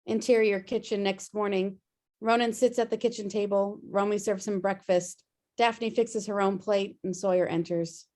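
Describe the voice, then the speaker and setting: A woman's voice: medium pitch, steady tone, normal speed, a little mellow. A woman reading out stage directions as scripted narration at a table read.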